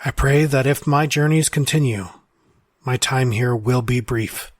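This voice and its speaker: Man performing a melancholy male time traveller, mid-pitched, old-fashioned formal phrasing, reflective audio drama letter narration, dry sound. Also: a little slow, no room sound, lightly acted.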